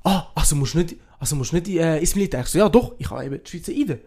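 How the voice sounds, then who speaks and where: A young man with a Swiss accent talks in a fairly high-pitched male voice. His delivery is casual and conversational, peppered with youthful slang and English expressions, like a podcast co-host chatting with a friend. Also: lively intonation, racing, darkish tone, husky. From Switzerland. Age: young adult. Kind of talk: casual chat.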